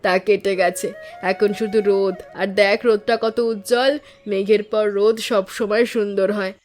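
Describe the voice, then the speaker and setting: A woman playing a gentle, wise, doting village aunt in a cartoon story, with a tender, reflective way of talking. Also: mid-range voice, steady tone, moderate pace, natural voice. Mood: loving. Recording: music under the voice.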